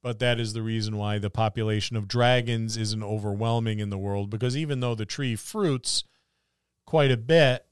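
A man co-hosts a casual gaming podcast in a smooth, clean voice. He muses and jokes as he goes. The sound is dry, with hardly any room on it. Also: lowish voice, fairly even intonation, moderate pace, no echo. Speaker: podcast co-host. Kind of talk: casual tabletop gaming discussion.

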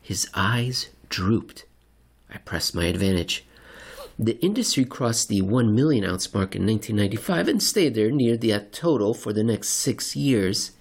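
Theatrical male narrator with gravelly edge. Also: on the low side, expressive. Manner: dramatic, deliberate, wry. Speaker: narrator and reader. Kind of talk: theatrical scripted narration of market report and story.

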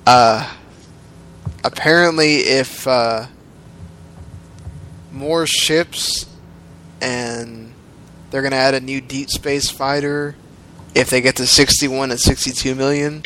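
A man's voice: medium pitch, fairly bright tone, poor audio. A man leading a casual gaming podcast, throwing out topics in a loose, sarcastic way. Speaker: podcast host.

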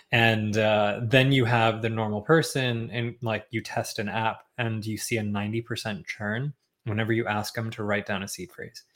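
A young man talking as a guest on a podcast, relaxed, with lots of ums and likes and frequent chuckles. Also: on the low side, moderate pace. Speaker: podcast guest, tech founder. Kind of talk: casual interview chat.

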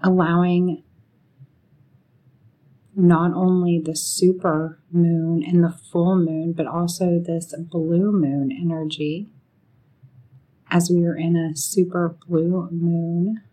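A woman leading a guided meditation in a soothing, unhurried manner, pausing often between short phrases. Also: fairly low pitch, flat delivery, warm tone, low-quality recording.

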